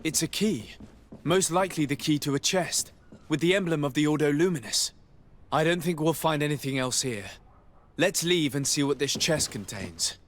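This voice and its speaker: Lightly high-pitched, composed noble diplomat played by a man. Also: animated, moderate pace, a little hiss or hum, lightly acted. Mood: composed, purposeful. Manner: formal, self-assured. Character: young noble male diplomat, composed, politely defiant. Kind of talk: video game voice acting.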